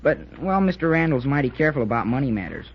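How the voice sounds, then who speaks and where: An actor playing an earnest, joking teenage military school cadet in an old-time radio serial, with an American accent and a folksy, bantering way of talking. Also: male voice, mid-range voice, very animated, quick pace, slightly rough. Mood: earnest, worried. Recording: dull old radio recording.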